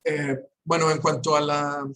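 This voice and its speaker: A man at an online academic conference, speaking in a slow, measured way. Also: fairly high pitch, flat delivery, a bit bright. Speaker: conference presenter.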